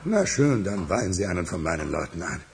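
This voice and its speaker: Agitated, gravelly crime boss. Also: male voice, low voice, very animated, a little fast. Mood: brooding, brisk. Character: agitated, sardonic, commanding male city crime boss. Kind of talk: German radio crime drama acting.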